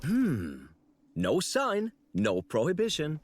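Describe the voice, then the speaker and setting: A man's voice: a little high, huge pitch swings, slow, a little hiss or hum, full character voice. A man voicing a young apprentice angel, polite, bemused and a bit hesitant. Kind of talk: video game cutscene voice acting. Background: game music.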